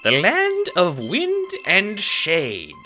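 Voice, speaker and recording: A sweet old clownish grandmother ghost, acted out by a man, pitched high and swooping freely. The delivery is bright, theatrical and folksy, full of doting endearments, as in an audio drama. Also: wildly swooping pitch, extremely slow, soft background music, heavily acted. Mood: grand, encouraging. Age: older. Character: kindly, playful elderly grandmother spirit, half clown. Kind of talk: audio drama character acting.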